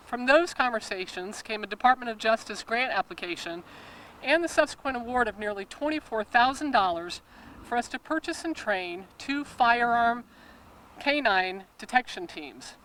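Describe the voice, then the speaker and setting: A woman with a fairly high voice speaks as a police official, reading a prepared address. There is faint traffic and ambient noise behind her. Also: a bit bright, low-quality recording. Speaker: police chief speaking at a press conference.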